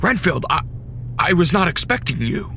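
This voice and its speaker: A man playing a cautious, courteous vampire count in a radio drama, restrained and deliberate in manner. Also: a little high, sing-song, gravelly, sharp tone, a little hiss or hum, heavily acted. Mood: surprised, courteous. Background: dramatic music.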